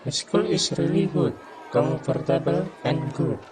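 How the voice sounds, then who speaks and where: A young man playing a polite high school pupil in a student-made radio drama. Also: lively intonation, measured pace, darkish tone. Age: young adult. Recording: noisy crowd chatter, amateur recording.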